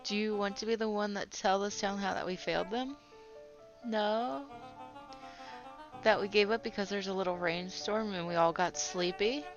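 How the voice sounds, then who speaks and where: A woman's voice: medium pitch, moderate pace, dull audio, faint music behind, lightly acted. A woman voicing a pompous man in charge, who lectures his workers condescendingly.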